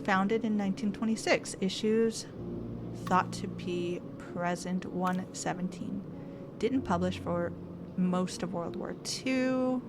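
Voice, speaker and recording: A woman's voice: on the low side, slow, background noise. A woman streamer casually thinking aloud while playing a game and reading text off the screen. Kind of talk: casual let's-play commentary. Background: game music.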